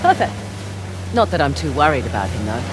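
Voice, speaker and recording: A woman's voice: very deep voice, big pitch swings, brisk, heavy background noise. A woman playing a brash, wry treasure hunter in a video game, with flippant, teasing banter. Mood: playful. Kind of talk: naturalistic video game voice acting.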